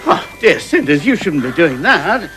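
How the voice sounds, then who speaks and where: A man's voice: on the high side, big pitch swings, a little slow, over music. A man playing a fretful, henpecked middle-aged father with doleful, theatrical pantomime delivery. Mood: worried, regretful. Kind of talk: pantomime stage acting.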